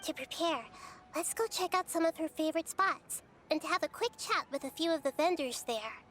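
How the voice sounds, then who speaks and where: A woman voicing a small, wise, gentle girl deity in a video game, speaking in a measured, thoughtful way. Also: high-pitched. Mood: composed, resolute.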